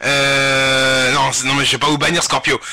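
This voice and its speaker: A man hosting a live webradio prank show, talking in a crude, slangy, excitable way. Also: medium pitch, normal speed. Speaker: webradio host and prank caller. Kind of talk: informal live radio prank-call show banter. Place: webradio studio.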